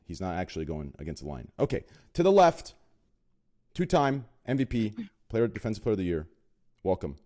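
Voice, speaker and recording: A man's voice: lowish voice, very animated, fairly quick, warm tone. A man hosting a podcast, introducing guests with rambling, self-conscious jokes and lots of fillers. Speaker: podcast host. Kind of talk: casual chat, joking guest introductions.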